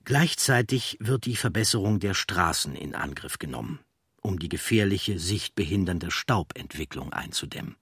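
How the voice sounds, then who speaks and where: Low, slightly husky male documentary narrator. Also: animated, normal speed, fairly bright tone, no room sound, clean studio sound. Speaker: audiobook narrator.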